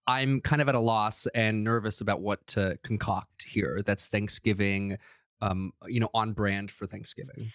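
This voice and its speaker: A man's voice: on the low side, steady tone, fairly bright tone, no room sound, studio quality. A man from Croatia, co-hosting a podcast in casual chat, joking and self-deprecating. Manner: joking, self-deprecating, quick asides with frequent laughs. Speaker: podcast co-host.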